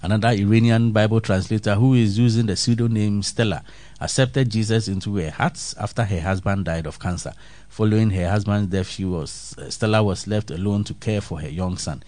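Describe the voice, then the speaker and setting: A man's voice: lowish voice, brisk, darkish tone, dry recording. A man reading a faith news story aloud, then musing on it in an earnest, reflective way with restarts and fillers.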